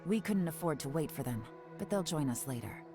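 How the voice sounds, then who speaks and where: An actress playing a composed, calculating woman intelligence operative in a video game, speaking in a measured, businesslike way. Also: expressive, over music. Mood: composed, cautious. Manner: measured, low-key, businesslike.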